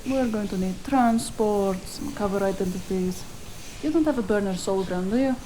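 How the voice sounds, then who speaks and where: Sardonic, bossy female fixer acted by a woman, mellow mid-range voice, brisk scolding wit, noir audio drama. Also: medium pitch, a little hiss or hum, close to an everyday voice, low-quality recording. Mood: businesslike. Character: bossy, sharp-witted female cyberpunk fixer, teasing partner. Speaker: voice actor.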